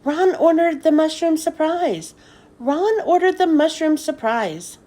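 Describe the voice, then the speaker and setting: A woman voicing excitable young schoolchildren in a storybook, with a childlike, exclaiming manner. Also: high-pitched, a little slow, pitched above the performer's own voice. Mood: excited.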